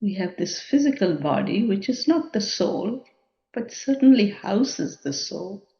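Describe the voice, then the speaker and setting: A woman leading a guided meditation in a measured, contemplative manner, leaving long pauses between phrases. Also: medium pitch, big pitch swings, dark tone. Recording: dull, muffled lo-fi sound.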